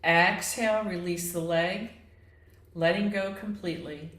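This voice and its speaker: A woman leading a guided yoga relaxation as the instructor, speaking in a gentle, measured, inviting way. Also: lowish voice, fairly even intonation. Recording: echoey, reverberant room.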